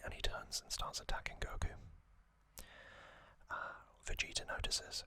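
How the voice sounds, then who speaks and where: A man whispering as an ASMR host, telling a story softly in short, halting phrases. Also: no echo. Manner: soft, unhurried, halting delivery in short phrases.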